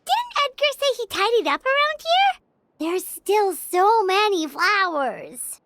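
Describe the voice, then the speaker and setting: A squeaky little companion sprite. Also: female voice, very animated, slow pace, slight background noise, heavily acted. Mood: curious. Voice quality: high childlike voice. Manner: bubbly, curious. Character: small chirpy female floating companion. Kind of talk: video game voice acting. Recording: soft background music.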